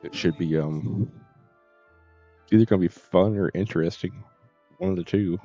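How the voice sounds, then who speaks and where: A man's voice: low voice, normal speed, gravelly, mellow. A middle-aged man live streaming a game, chatting casually in a rambling, easygoing way. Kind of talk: casual chat while gaming. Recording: dull, slightly muffled stream audio. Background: faint game music.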